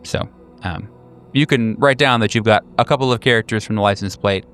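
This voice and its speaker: A man's voice: on the low side, fairly quick, fairly bright tone, clear, resonant tone, faint music behind. A man improvising narration as game master, casual and hesitant, rephrasing as he goes.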